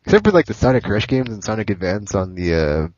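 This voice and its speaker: A man's voice: on the low side, big pitch swings, quick pace, crowd noise. A young man hosting a casual gaming podcast, rambling with lots of fillers in a lo-fi recording. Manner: rambling, heavy use of "like" and fillers. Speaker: podcast host. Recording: dull lo-fi podcast audio.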